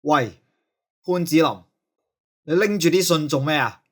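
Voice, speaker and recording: A man acting a high-pitched strict school discipline master. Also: animated, measured pace. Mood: stern, accusing. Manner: scolding, interrogating. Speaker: voice actor in an audio drama. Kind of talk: Cantonese radio drama acting.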